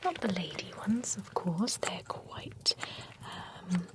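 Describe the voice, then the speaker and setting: A woman's voice: lowish voice, slow pace. A woman voicing a chatty, prim female vampire who grooms werewolves, whispering close to the mic in an ASMR roleplay. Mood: soft, gossipy. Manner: whispered, gossipy and fussy. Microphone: close sensitive ASMR mic.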